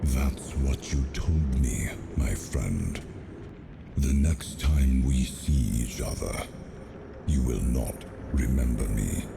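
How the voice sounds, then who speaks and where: An actor playing an ancient, wise lord of the seas in a video game, grave and portentous, with a gravelly, deliberate delivery. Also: male voice, extremely low pitch, expressive, heavily acted. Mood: solemn welcome. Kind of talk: dramatic video game acting. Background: loud music.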